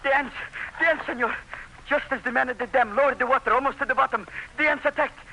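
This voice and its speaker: An actor playing a frantic, deferential plantation worker bringing dire news in an old-time radio drama. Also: male voice, very high voice, fast talker, sharp tone, heavily acted, low-quality recording. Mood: panicked.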